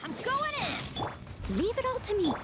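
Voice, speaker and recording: A woman's voice: extremely high pitch, big pitch swings, measured pace, full character voice. A woman voicing a playful, cheeky young female guide in an English video game, with theatrical, bouncy, teasing delivery. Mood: excited, bold. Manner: bouncy, teasing, sing-song battle cries. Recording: game music under the voice.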